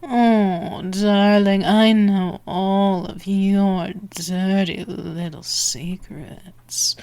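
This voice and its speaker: A woman playing a dominant, predatory female vampire in an ASMR roleplay, taunting and sultry, often slipping into breathy whispers. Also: medium pitch, a little mellow. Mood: gleeful, predatory. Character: dominant, teasing, predatory female vampire stalking her prey.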